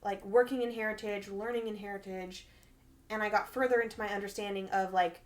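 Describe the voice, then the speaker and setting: A woman's voice: medium pitch, steady tone, fairly quick. A woman co-hosting a casual podcast, thoughtful and chiming in with asides. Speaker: podcast co-host. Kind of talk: casual chat.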